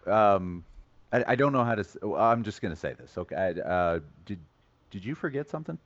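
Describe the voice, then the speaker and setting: A man playing a hesitant, needy colleague in a comic skit, halting and stammering as he prods. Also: on the low side, expressive, no room sound, muffled recording, close to an everyday voice. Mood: hesitant, polite. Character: hesitant, increasingly needy colleague fishing for credit. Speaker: show colleague. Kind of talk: scripted comic skit, conversational.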